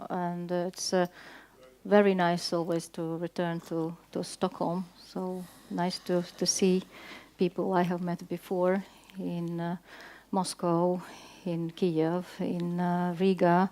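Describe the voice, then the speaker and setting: A woman with a Latvian accent speaking as a panelist at a conference, halting often with fillers and restarts. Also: on the low side, monotone, dark tone. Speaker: diplomat panelist. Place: conference hall.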